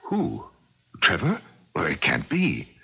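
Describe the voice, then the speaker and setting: An American actor playing a grave, anxious middle-aged scientist in an earnest, dramatic radio drama style. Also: male voice, lowish voice, wildly swooping pitch, slow pace, gravelly, full character voice. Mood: agitated. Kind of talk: 1980 radio drama acting. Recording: dull, very noisy old radio broadcast.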